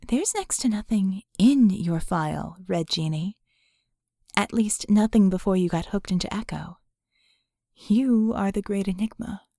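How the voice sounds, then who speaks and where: A woman voicing an earnest, curious and skeptical female mage in an audiobook, speaking in a halting, candid, conversational way. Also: mid-range voice, warm tone, no room sound, studio quality.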